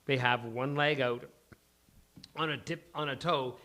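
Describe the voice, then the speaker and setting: A man's voice: mid-range voice, steady tone, measured pace, bright, forceful tone. A man reading scripted stage directions as the narrator of a live table read.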